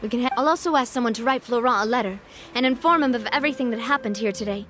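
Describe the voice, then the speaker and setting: A woman's voice: fairly high pitch, very fast, a bit bright, slight background noise, lightly acted. A woman voicing a young woman who leads a group in a video game, firm, composed and sincere. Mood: brisk. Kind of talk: game voice acting. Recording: game audio with faint music behind.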